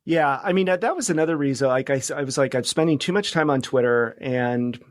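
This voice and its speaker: A man's voice: mid-range voice, fairly quick, no echo. Male podcast guest, mid-range voice, tentative thinking-aloud delivery, close and dry recording. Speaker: podcast producer, interview guest. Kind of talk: casual chat.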